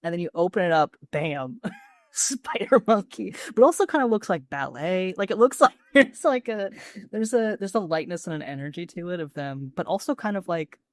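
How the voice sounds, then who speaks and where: Playful lady on a podcast, pretty deep voice, slangy chat, very dry close mic. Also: animated, normal speed, no room sound. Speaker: podcast co-host. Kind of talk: casual chat.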